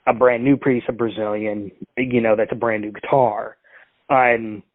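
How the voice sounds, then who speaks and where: A man's voice: mid-range voice, level tone, measured pace, dry recording. Male prank caller; rambling, restart-heavy delivery; phone-line audio. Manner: rambling, full of fillers and restarts. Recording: tinny dry phone line.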